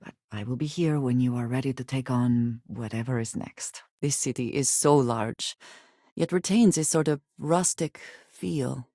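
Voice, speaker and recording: An actress playing a guarded, earnest female spacefaring companion in a video game, speaking in formal, measured wording. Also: very deep voice, mellow, no room sound, clean studio sound, exaggerated voice. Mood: composed, observant. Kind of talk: video game character dialogue.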